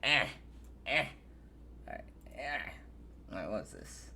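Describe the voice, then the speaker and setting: A man's voice: fairly low pitch, huge pitch swings, slow, vocal fry, very noisy. A man streaming live, chatting casually, joking around and laughing often.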